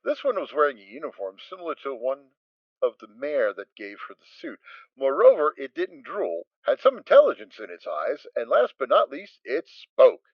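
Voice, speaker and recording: Animated male storyteller, swooping pitch. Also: normal speed, no echo, dull audio. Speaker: narrator. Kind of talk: animated dramatic story narration.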